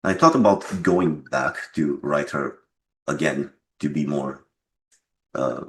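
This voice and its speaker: Very deep-voiced man, noisy recording. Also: takes it slowly. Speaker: game developer being interviewed. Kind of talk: casual reflective interview talk.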